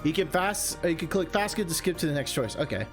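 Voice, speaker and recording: A man's voice: on the high side, very animated, brisk. A man hosting a casual, chatty let's-play, rambling and interrupting himself with enthusiastic asides. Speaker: video game streamer and host. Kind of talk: casual, chatty let's-play commentary with some read-aloud game text. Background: game background music.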